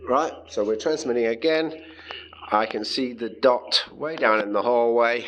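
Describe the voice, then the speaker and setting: A man's voice: medium pitch, measured pace, a bit bright, dull audio, slight background noise. Casual man giving a hands-on electronics demo. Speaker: hobbyist presenter demonstrating homemade electronics. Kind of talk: casual hands-on tutorial, unscripted.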